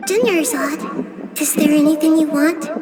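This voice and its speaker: A soft, very high-voiced young goddess, slow and coaxing, with game music behind. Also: female voice. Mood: gentle. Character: gentle young goddess voice, kind and childlike. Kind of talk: video game voice acting.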